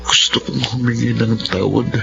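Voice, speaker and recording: A Filipino man playing a sick, guilt-ridden father in a radio drama, halting and remorseful. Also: mid-range voice, steady tone, creaky. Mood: remorseful, sorrowful. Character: sick, guilt-ridden father who abandoned his family. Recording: muffled radio drama, loud music behind.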